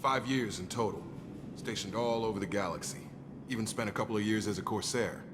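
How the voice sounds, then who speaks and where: Man acting a deep-voiced, jaded ex-military operative. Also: a little fast, close to an everyday voice. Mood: guarded, matter-of-fact. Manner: matter-of-fact, world-weary. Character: jaded ex-military male operative, plain-spoken, dutiful. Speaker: voice actor in a video game. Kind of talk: cinematic video game dialogue. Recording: long reverb, loud background noise.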